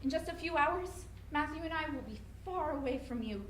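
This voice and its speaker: A woman's voice: high voice, animated, a little fast. A woman acting an anxious young mother fleeing a controlling partner, in a staged dramatic reading. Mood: angry, defiant. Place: live presentation in an echoey room. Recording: roomy, noisy live stage recording.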